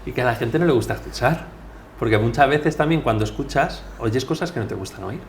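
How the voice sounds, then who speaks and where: A man's voice: mid-range voice, fairly quick, a little hiss or hum. A man hosting a podcast, speaking in a conversational interview style as he introduces and questions his guest.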